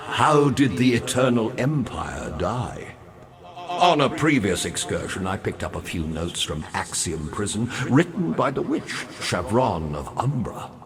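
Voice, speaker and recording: Game role: pompous revenant sea captain, wry and morbid, hugely animated pitch, slow relished delivery. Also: male voice, a crowd in the background, exaggerated voice. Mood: reminiscing, disdainful. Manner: florid, pompous, savoring his words. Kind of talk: theatrical video game character dialogue.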